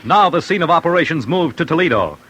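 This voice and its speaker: A man's voice: on the high side, lively intonation, quick pace, a bit bright. A man narrating a scripted 1940s radio crime series in an urgent, punchy, dramatic manner. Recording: old radio broadcast over music.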